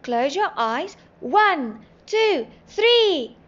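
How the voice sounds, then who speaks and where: A woman's voice: high voice, animated, unhurried, darkish tone, husky, full character voice. A woman playing an eager, knowing little schoolboy in a children's storybook. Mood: urgent. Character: eager, bright little schoolboy explaining things. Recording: dull lo-fi, faint background noise.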